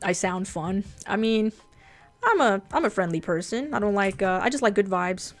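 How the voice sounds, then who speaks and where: A woman whose first language is Japanese, with a fairly deep voice, chatting casually and bluntly on a drawing livestream, swearing freely. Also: animated, moderate pace, faint music behind. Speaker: streamer and artist.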